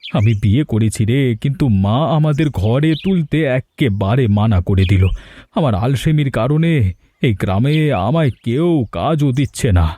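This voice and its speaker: A man's voice: mid-range voice, lively intonation, normal speed, warm tone, close to an everyday voice. A man playing a helpless, lazy young newlywed in a cartoon. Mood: troubled. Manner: pleading, plaintive.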